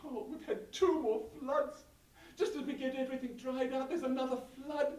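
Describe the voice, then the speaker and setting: A woman's voice: high voice, fairly even intonation, moderate pace, mellow, voice pushed up, natural voice. A woman voicing a fretful young settler bride who exclaims breathlessly and laughs nervously, recorded roomy and from a distance with faint noise behind. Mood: distressed.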